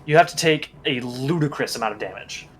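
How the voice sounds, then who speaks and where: A man's voice: medium pitch, brisk, soft background music. A man casually running a tabletop roleplaying game, explaining rules in a precise, matter-of-fact way. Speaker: game master.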